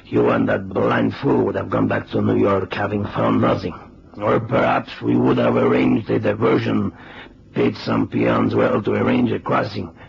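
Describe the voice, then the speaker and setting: An actor playing a smug, courteously cruel Mexican crime boss with a Mexican accent and formal, mocking phrasing in a vintage radio drama. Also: male voice, on the low side, little pitch movement, moderate pace, gravelly. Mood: smug, amused. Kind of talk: 1970s radio drama acting. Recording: muffled, hissy old radio recording.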